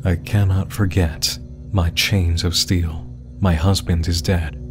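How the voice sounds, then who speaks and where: Guy reading lines for antlered creature lady, low n slow, solemn, menacing, bitter, horror story w/ music. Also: fairly even intonation. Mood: ominous, bitter. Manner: slow, solemn, ominous recitation. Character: menacing, grieving female antlered creature, hateful and taunting.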